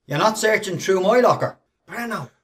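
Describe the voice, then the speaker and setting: Actor as defiant, high-voiced male worker. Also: expressive, moderate pace, a bit bright. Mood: indignant. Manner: combative. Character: defiant, indignant male worker standing on his rights. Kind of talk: radio play acting.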